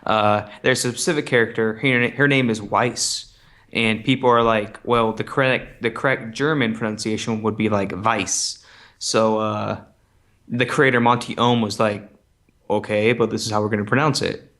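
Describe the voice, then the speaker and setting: A man's voice: on the low side, steady tone, normal speed, a bit bright. A young American man co-hosting a podcast with casual, wry chat. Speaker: podcast co-host.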